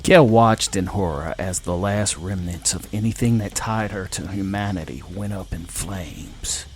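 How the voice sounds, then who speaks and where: A man with a fairly deep voice narrates scripted science fiction. His reading is dramatic, as a storyteller's would be. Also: unhurried.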